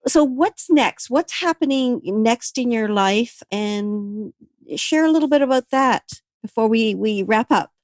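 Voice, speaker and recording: A woman's voice: on the high side, lively intonation, a little slow, no room sound. A woman hosting a conversational interview, prompting and responding to her guest. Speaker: interview host.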